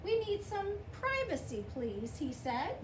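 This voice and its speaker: A woman's voice: very high voice, sing-song, slow pace. A woman reading a children's picture book aloud, giving the dialogue expression. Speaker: storybook reader. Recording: roomy, distant mic, clear background noise.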